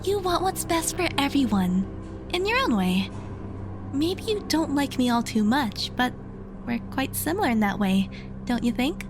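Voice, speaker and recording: A high, animated young woman, voiced by an actress, speaks with earnest hope and care. She is sincere and gently insistent, in the manner of audio drama dialogue, over faint music. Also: measured pace. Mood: gentle, hopeful.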